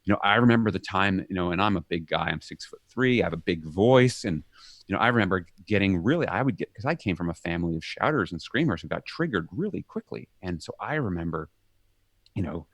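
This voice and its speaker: A middle-aged man with a very low voice gives an animated guest interview. He jumps into loud acted-out quotes, then sinks quiet and soft. The sound is dry and close. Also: fairly quick, dry recording. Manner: animated, acts out quoted shouts. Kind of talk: conversational interview.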